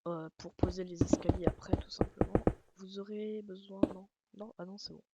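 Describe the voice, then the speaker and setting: A woman with a slight Alsatian accent talks through a game tutorial in a loose, rambling way, tossing in swear words and self-mocking jokes. Her voice has a gravelly, creaky edge, and loud background noise runs under the recording. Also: mid-range voice, steady tone, normal speed. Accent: Alsatian French. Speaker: gaming channel host. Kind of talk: casual game tutorial commentary.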